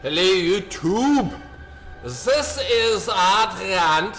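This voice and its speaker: A middle-aged man from Germany, rambling through a self-recorded vlog rant in an animated, self-mocking way that repeats phrases. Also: high-pitched, drawn-out words, faint music behind. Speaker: artist vlogger.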